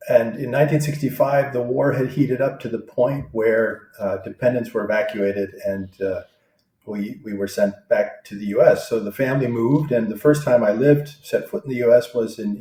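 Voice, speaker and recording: A man in his sixties answering interview questions in a thoughtful, halting way, with many fillers and restarts. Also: on the low side, fairly even intonation, warm tone. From born in Vietnam, raised abroad. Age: 60s. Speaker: guest. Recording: roomy echo.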